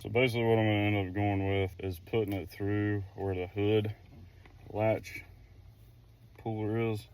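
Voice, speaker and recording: A man with a lowish voice talks through a do-it-yourself job. His delivery is folksy and unhurried in attitude, as if thinking aloud while working. The recording is made outdoors, with faint ambient noise behind the voice. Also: flat delivery, a little mellow. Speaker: vlogger doing a DIY car project. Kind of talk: casual how-to vlog chat. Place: outdoors at a vehicle, some wind.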